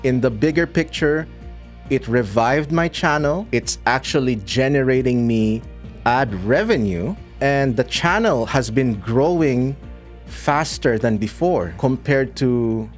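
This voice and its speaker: A man from the Philippines whose first language is Tagalog, a YouTube creator answering viewer comments in a dry, measured way. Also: medium pitch, expressive, faint music behind. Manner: dry, measured, pauses edited out. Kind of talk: casual commentary to camera.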